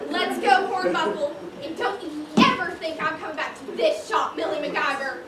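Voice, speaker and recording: A woman's voice: very high voice, moderate pace, a loud crowd. A woman playing a brash, suspicious mature customer with blunt, folksy speech in an amateur stage comedy. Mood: scolding. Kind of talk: amateur one-act stage comedy acting. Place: stage in a large echoey room. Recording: echoey stage, noisy, far-off mic.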